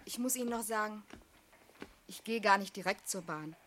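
A woman's voice: mid-range voice, normal speed, fairly bright tone, a little hiss or hum, natural voice. Actress as an earnest, confiding young working woman. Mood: hesitant, confiding. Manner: intimate, urgent, sincere. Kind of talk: German radio drama acting.

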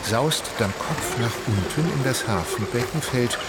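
Animated male narrator over loud music. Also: mid-range voice, measured pace. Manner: dramatic, ornate storytelling. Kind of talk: scripted literary radio play narration.

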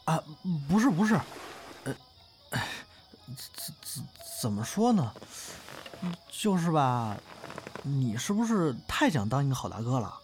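A man playing a candid, easygoing young performer in an audio drama, speaking colloquially and haltingly. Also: medium pitch, sing-song, darkish tone, faint music behind. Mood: awkward, hesitant. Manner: colloquial, halting, hedging speech. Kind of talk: modern Chinese audio drama acting, naturalistic dialogue.

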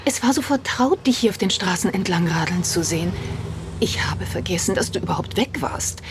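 An anxious, kindly woman played by an actress in a radio drama. Her voice sits fairly low, and there is clear noise around her. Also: expressive, brisk, close to an everyday voice. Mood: hushed, apologetic. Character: anxious, kindly French woman, old acquaintance.

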